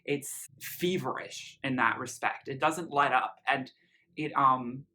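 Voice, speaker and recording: A man's voice: medium pitch, steady tone, slow, sharp tone. A man giving a casual, effusive music review, speaking earnestly and leaning on emphasized words. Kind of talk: casual album review commentary with lyrics read aloud.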